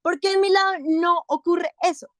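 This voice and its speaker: A young woman argues her side in a debate, her voice sitting high and bright. She drives hard through a list of numbered points. The sound is close and dry, with almost no room around it. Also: steady tone, moderate pace, no echo. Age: young adult. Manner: emphatic, counts points off one by one. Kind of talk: competitive debate speech.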